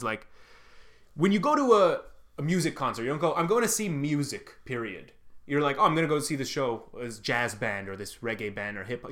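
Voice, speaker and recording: A man's voice: medium pitch, pushed and bright. A man born in Lebanon, a comedian co-hosting a casual chat, rambling and swearing with self-deprecating jokes. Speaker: comedian and podcast co-host.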